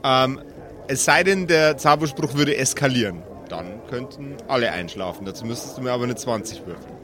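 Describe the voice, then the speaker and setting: A man's voice: medium pitch, brisk, a crowd in the background. Hesitant male game master, casual. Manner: hesitant, searching for words. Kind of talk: improvised tabletop game narration, casual.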